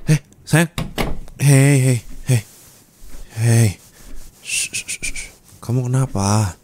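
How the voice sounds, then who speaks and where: A man playing a gentle, caring husband in an ASMR roleplay, speaking in a tender, coaxing, reassuring way. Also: mid-range voice, slow, slight background noise, pitched above the performer's own voice, natural voice. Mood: soothing, apologetic. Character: gentle caring young husband comforting his distressed wife.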